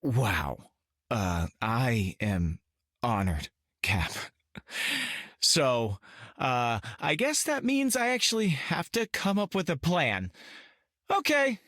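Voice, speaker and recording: An actor playing a cocky, boyish space pilot in a game, casual and stammering. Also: male voice, mid-range voice, sing-song, takes it slowly, pauses often, fairly bright tone, no echo, clean studio sound, full character voice. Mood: flustered. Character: cocky, goofy young male space-pilot adventurer.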